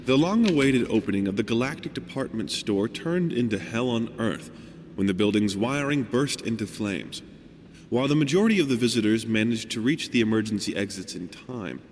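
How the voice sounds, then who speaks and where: A man voicing a formal newspaper reporter in a horror game, narrating articles in a measured, theatrical way. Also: lowish voice, natural voice. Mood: grave reporting. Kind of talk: scripted dramatic news-style narration. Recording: long hall reverb, faint background noise.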